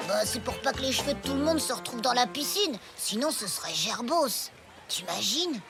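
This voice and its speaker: Earnest, very high-pitched cartoon boy. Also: animated, normal speed, vocal fry, loud music behind the voice. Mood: mocking. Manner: naive, slangy, childish. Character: gullible, earnest ten-year-old boy, the hero's sidekick. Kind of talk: French cartoon dubbing.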